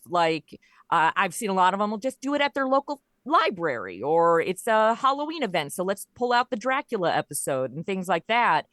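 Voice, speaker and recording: A woman's voice: mid-range voice, a bit bright, no echo, clean studio sound. A woman speaking as an interview guest, telling stories in a casual, conversational way. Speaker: podcast guest, audio comedy creator.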